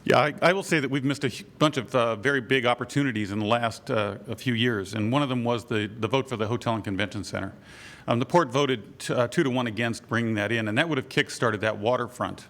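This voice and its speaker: A man running for office, speaking brisk and assertive at a forum, his voice a bit scratchy from a cold. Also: mid-range voice, a bit bright. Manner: brisk, assertive, rushes then slows down. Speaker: political candidate. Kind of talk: candidate forum statement.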